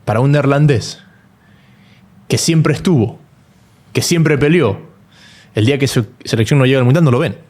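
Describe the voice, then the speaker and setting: A man's voice: mid-range voice, moderate pace, long pauses, a little mellow. A man from Ecuador co-hosting a casual football talk show, thinking aloud with frequent restarts. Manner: thoughtful, hesitant restarts. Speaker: co-host.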